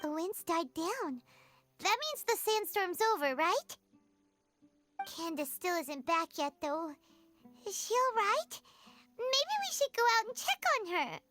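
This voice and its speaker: A tiny, excitable fairy girl for a video game, extremely high-pitched and swooping in melody. She is inquisitive and wide-eyed, speaking at an unhurried pace with music behind her. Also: heavily acted. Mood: concerned. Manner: exclamatory, questioning, wide-eyed.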